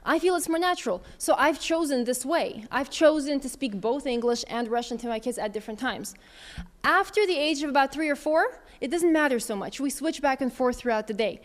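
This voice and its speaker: High-voiced woman fielding questions. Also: animated, brisk. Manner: candid, conversational, thinks aloud with fillers. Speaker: speaker answering audience questions. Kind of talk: casual Q&A talk.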